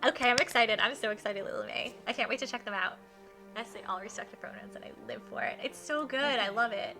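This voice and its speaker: A woman streaming a visual novel, reading it aloud and reacting in an enthusiastic, expressive way. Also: on the high side, fast talker, music in the background. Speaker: game streamer.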